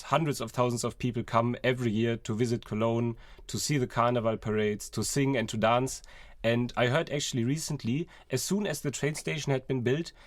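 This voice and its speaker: A man's voice: medium pitch, no room sound, clean studio sound. A young man speaking as a student podcast co-host, explaining things in a reflective, conversational way. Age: young adult. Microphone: close podcast microphone.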